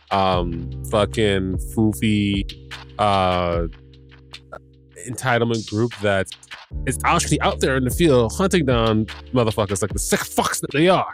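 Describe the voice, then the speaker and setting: A man hosting a casual recap podcast with a stuffed-up nose, rambling, correcting himself and swearing casually. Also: lowish voice. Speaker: podcast host.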